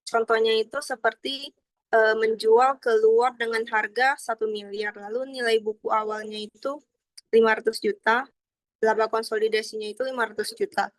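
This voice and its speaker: A woman's voice: fairly high pitch, steady tone, normal speed, dry recording. A young adult woman gives a formal, explanatory student presentation, partly reading from slides. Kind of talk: slide presentation of accounting material, partly read out.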